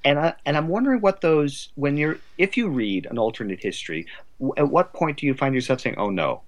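A man's voice: on the high side, expressive, fairly quick. A man speaking as an interview guest in a reflective, discursive and articulate conversational way. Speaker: podcast guest, writer.

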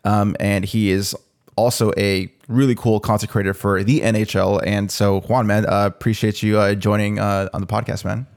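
A man's voice: on the low side, level tone, moderate pace, darkish tone. A man hosting a casual podcast interview, talking in a laid-back, slangy way. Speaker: podcast host.

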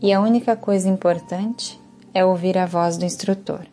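Mellow, low-voiced Brazilian woman guiding relaxation. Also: faint music behind. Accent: Brazilian Portuguese. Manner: calm, soothing, unhurried instruction. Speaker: yoga nidra instructor. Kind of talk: guided relaxation meditation.

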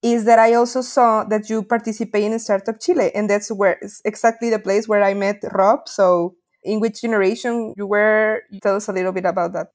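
A woman's voice: medium pitch, steady tone, fairly quick, hardly any pauses, a little mellow. Woman with over music. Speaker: podcast co-host. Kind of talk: guest introduction and interview questions.

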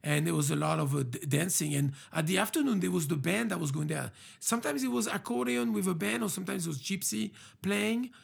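A man's voice: high voice, fairly quick, creaky, few pauses, slightly rough. A man with a French accent, hosting a casual interview and talking with many hesitations and restarts. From France.

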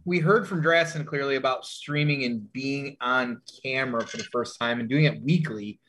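A man hosting a casual stream, relaxed as he moderates questions for the group. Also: medium pitch, normal speed, over a noisy crowd, poor audio. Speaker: stream host asking questions.

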